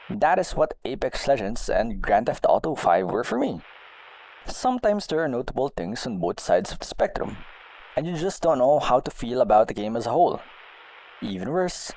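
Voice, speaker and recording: A man reading a personal essay aloud as a video game blogger, in an expressive, deliberate way. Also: mid-range voice, low-quality recording.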